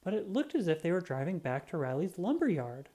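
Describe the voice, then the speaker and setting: A woman reading a children's book aloud in an animated, expressive storytelling style. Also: fairly low pitch. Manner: expressive, drawn-out emphasis on sound words.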